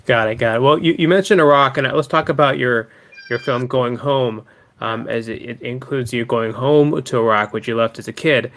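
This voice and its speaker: A man's voice: mid-range voice, normal speed, a bit bright. A man hosting an interview over a video call, asking questions in an upbeat, friendly way. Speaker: host.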